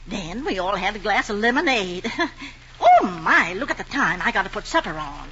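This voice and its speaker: A woman's voice: medium pitch, big pitch swings, moderate pace, a bit bright. A woman playing a practical, long-suffering farm mother, plain-spoken and folksy with a Southern American accent, in old-time radio drama style. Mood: cheerful. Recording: dull, noisy old radio recording.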